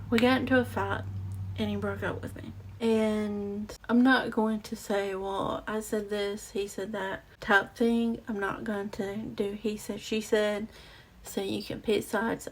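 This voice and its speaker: A young woman with a raspy, gravelly voice tells her own story straight to her viewers. She talks in a halting, reflective way, full of fillers and restarts. Her pitch sits in a middle range, and she is close to the mic. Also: poor audio. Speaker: vlogger. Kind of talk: personal storytelling to camera. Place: outdoors or near a street with passing cars.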